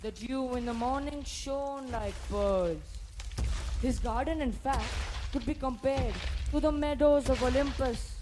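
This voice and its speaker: A narrator in a school play, reciting a story in a measured, declamatory way. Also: high voice, background noise.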